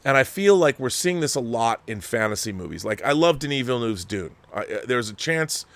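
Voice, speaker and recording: A man's voice: medium pitch, sing-song, fairly bright tone. A man hosting a casual film discussion, giving emphatic, opinionated commentary in a rambling way, often breaking off to rephrase. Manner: rambling, emphatic, halting mid-sentence and rephrasing. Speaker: host of a film discussion show. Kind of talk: casual opinionated commentary, reading viewer letters.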